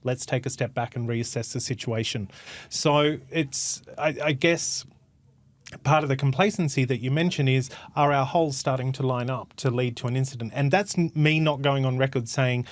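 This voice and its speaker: A man's voice: mid-range voice, normal speed, no echo. A man, a former cabin crew member co-hosting a podcast, explaining safety ideas earnestly with analogies. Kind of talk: casual discussion.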